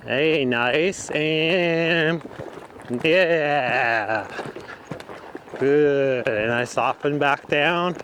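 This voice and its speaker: A man's voice: a little high, extremely slow, fairly bright tone. A man who trains horses, giving instructional commentary in short, broken phrases as he rides outdoors. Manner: talking in short, broken phrases while riding. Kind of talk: instructional commentary while riding. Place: outdoors in an open riding arena. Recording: faint outdoor noise.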